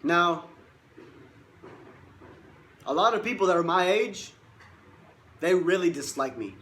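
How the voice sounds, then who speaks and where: Boastful young man with a high voice. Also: animated, slow pace, fairly bright tone. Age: 20s. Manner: boastful and emphatic, repeats himself for stress. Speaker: life coach. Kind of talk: motivational monologue.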